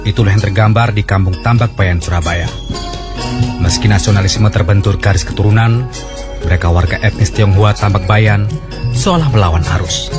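A man narrating a television feature in a measured, formal manner as the reporter. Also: on the low side. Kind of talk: scripted documentary narration. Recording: voice-over with loud music behind.

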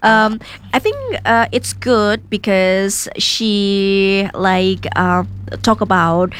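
A woman's voice: mid-range voice, fairly even intonation, drawn-out words, a bit bright, clear, resonant tone. A woman from Indonesia co-hosting a casual English podcast, speaking hesitantly with many fillers. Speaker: podcast co-host. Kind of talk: casual chat about learning English.

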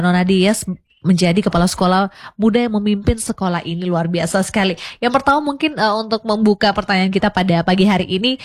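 A woman's voice: medium pitch, darkish tone. A woman hosting a live radio talk show, chatty and effusive, with exclamations and giggles. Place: radio studio.

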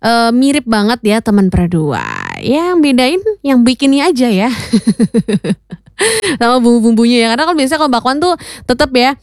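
A woman's voice: on the high side, lively intonation, fairly quick, dry recording, clean studio sound. A woman presenting casually as a radio host, chatting to listeners with frequent tag questions.

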